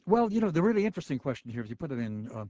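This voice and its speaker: A man hosting a radio call-in show, jocular and quick with asides. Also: on the high side, big pitch swings, warm tone, no room sound. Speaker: radio talk show host. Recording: slightly muffled radio broadcast.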